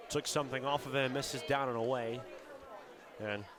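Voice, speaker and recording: Male radio baseball play-by-play voice, medium pitch, clipped calls, clear ballpark crowd in the background. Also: fairly even intonation, moderate pace. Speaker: play-by-play commentator. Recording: ballpark crowd and outdoor ambience behind. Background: crowd at an outdoor ballpark.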